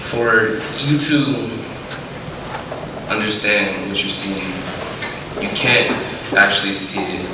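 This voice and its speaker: A teenage boy performing a reflective spoken word piece in a slow, thoughtful delivery. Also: on the low side. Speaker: teen poet. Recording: echoey hall from far off, noisy.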